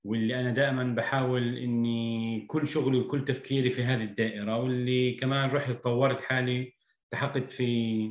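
A man's voice: mid-range voice, little pitch movement, quick pace. Palestinian villager man, frank and echoey. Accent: Palestinian rural. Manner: candid, reflective, laughs easily. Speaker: podcast guest, journalist. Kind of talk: casual podcast conversation. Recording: echoey room with faint music.

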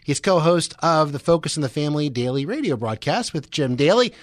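A man's voice: a little high, lively intonation, fairly quick, no room sound, clean studio sound. A man hosting a radio show, giving sports and weather updates in a conversational way. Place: radio studio.

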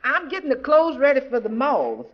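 Casting: dutiful, practical maid, vintage radio comedy, dull recording with a studio crowd behind. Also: female voice, sits high, huge pitch swings, moderate pace. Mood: deferential. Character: deferential, practical household maid, adult woman. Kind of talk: 1940s radio comedy acting.

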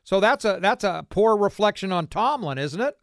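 High-pitched American sports radio host. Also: male voice, expressive, normal speed, fairly bright tone, dry recording, studio quality. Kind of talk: casual sports talk with callers.

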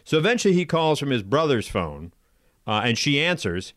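A man's voice: medium pitch, sing-song, normal speed, a bit bright, no room sound, clean studio sound. A man co-hosting a casual show, bantering and retelling odd news stories with jokes and mock voices. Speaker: co-host.

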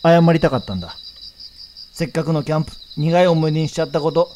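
A man's voice: fairly high pitch, quick pace, a little mellow, a little hiss or hum, lightly acted. An actor playing an earnest young man making up with a friend in a radio drama. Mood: sincere, apologetic.

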